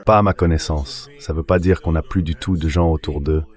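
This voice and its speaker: A man's voice: a bass voice, steady tone, fairly quick. A man's synthetic dubbing voice, speaking as a guest giving translated interview commentary.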